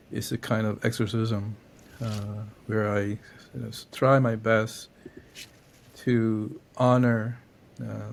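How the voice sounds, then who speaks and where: A middle-aged man from Cambodia, a writer answering interview questions in a reflective, halting way with many fillers and restarts. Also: on the low side, vocal fry. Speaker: poet and novelist guest.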